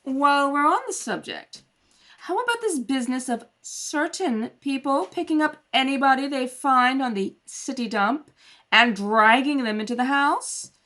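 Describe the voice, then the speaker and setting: A bright-voiced, spoiled rich daughter acted out by a woman in a radio play. She is haughty and cruel, taunting people with cool superiority and swooping intonation. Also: high-pitched, expressive, measured pace, exaggerated voice. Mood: accusing, snide. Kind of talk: radio play acting, comedy.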